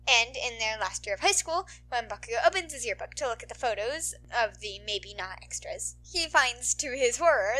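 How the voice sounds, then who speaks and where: A woman's voice: fairly high pitch, sharp tone, rough recording. A woman podfic narrator who talks in an informal, playful way. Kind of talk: animated story narration and casual outro chat.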